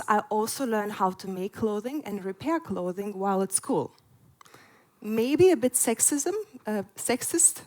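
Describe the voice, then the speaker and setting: A woman in her forties, originally from Lithuania, giving an earnest prepared talk at a festival with frequent "uh" fillers. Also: medium pitch. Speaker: conference speaker. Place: festival stage before an audience.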